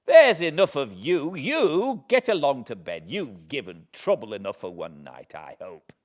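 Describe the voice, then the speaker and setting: A British man voicing a sharp-tempered, scolding woman in an audiobook, snappish and shrill. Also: sing-song, unhurried. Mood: exasperated scolding. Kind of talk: acted audiobook character.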